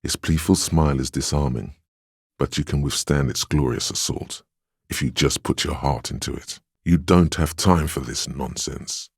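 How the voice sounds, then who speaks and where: A man playing a stern, weary, protective inner voice of a detective in a video game, with measured, grave, dryly sardonic delivery. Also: no room sound, clean studio sound, exaggerated voice. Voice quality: very deep, smooth, resonant. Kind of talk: scripted game dialogue and narration.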